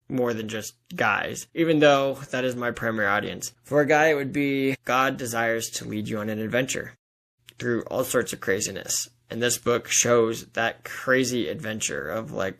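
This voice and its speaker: A man in his thirties chatting casually as an interview guest, acting out bits of imagined dialogue in an animated, informal way. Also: mid-range voice, vocal fry. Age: 30s. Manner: animated storytelling, acts out imagined dialogue, frequent "like".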